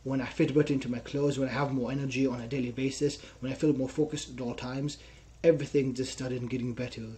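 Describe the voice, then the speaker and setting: A young man with an Israeli accent talks through a headset from a small room at home, his voice mellow and on the darker side. He tells his story earnestly, a guest on a casual interview, tagging his sentences with a questioning "right?". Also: medium pitch, flat delivery, fast talker. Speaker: guest, personal trainer.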